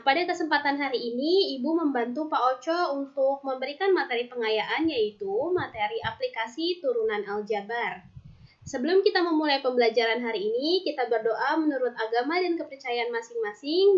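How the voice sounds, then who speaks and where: A woman teacher from Indonesia explaining math step by step in a recorded online lesson, patiently slowing down on formulas. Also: high voice, lively intonation, muffled recording. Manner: patient, steps through formulas aloud, slows on terms.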